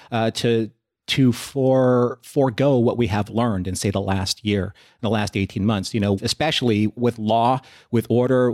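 Deliberate, halting man, dry sound. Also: mid-range voice, no echo. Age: middle-aged. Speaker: podcast guest. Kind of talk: reflective discussion.

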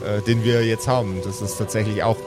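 A man co-hosting a podcast, chatting casually and haltingly as he addresses and thanks his audience. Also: lowish voice, level tone. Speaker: podcast co-host. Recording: loud music bed, rain-like sound. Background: loud music.

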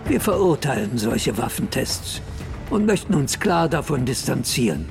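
Creaky, formal space agency director. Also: male voice, mid-range voice, sing-song, music in the background. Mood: diplomatic. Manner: formal, measured, official. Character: male space agency director announcing bad news and resignation. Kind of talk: formal press conference statement in audio drama.

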